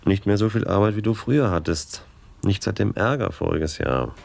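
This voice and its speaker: A man's voice: on the low side, normal speed, creaky. A man playing an insinuating police inspector in a radio play, needling and probing. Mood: sly, pressuring. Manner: needling, leading questions. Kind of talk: German radio play acting.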